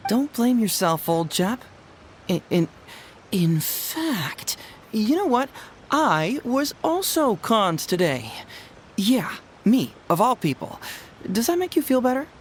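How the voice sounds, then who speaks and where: A woman's voice: on the high side, sing-song, slow, slight background noise. A woman voicing a cocky, clever young male detective in a game, glib and playful. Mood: reassuring. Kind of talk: game cutscene voice acting.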